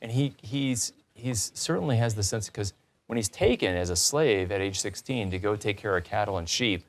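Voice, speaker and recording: A man's voice: lowish voice, animated, normal speed. A man hosting a talk show, interviewing conversationally and restating points as he leads into questions.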